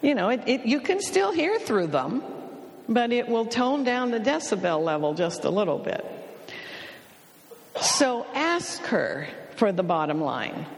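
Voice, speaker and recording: A woman counselor talks an audience through her advice at an unhurried, deliberate pace. Her delivery is dry and matter-of-fact, with a teasing edge aimed at the men, and her voice sits at an ordinary pitch. The sound carries the long reverb of a large hall.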